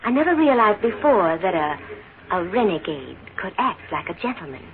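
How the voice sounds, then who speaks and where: A woman's voice: mid-range voice, very animated, moderate pace. An actress playing a proud, icily polite lady passenger in a 1940s radio drama, formal and cutting. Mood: icy, scornful. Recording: tinny 1940s radio recording, busy background.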